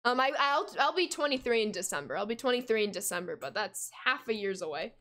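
A young woman in her twenties chats casually on a game stream, her voice sitting a little high. She reads viewer messages aloud between plays and breaks into quick gasps and laughter, heard through a desk mic at home. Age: 20s. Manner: chatty, reads chat aloud, bursts of excited gasps and laughs. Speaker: gaming streamer. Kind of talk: casual live-stream chat while playing.

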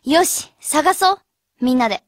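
An actress playing an earnest, determined high school girl in a radio drama. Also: animated, unhurried, noisy background.

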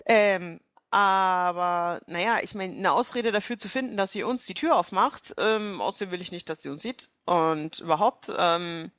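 A woman's voice: fairly low pitch, normal speed, fairly bright tone, no echo. A woman improvising casual in-character dialogue as a tabletop role-player, thinking aloud hesitantly.

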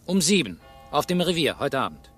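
An actor playing a shrewd, sardonic police inspector who probes and gives orders in a German radio crime play. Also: male voice, on the high side, big pitch swings, normal speed, fairly bright tone, slightly rough, faint music behind, exaggerated voice. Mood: sardonic, pressing. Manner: probing, sardonic, commanding.

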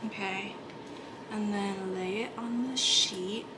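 A woman's voice: medium pitch, slow pace, background noise. A young woman in a casual cooking vlog, talking through each step as she cooks in a small apartment kitchen. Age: young adult. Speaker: vlogger.

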